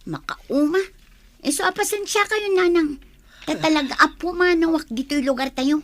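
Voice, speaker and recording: A woman's voice: sits high, normal speed. A woman acting a restless young daughter in an Ilocano audio drama, speaking in a plaintive, emotional way. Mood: determined, fed up. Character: young adult daughter, restless, determined to leave home.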